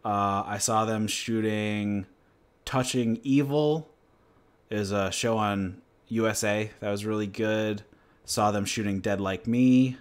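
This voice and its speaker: Low-voiced laid-back man rambling casually. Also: slow. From New Hampshire, USA. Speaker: channel host. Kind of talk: casual livestream chat and Q&A.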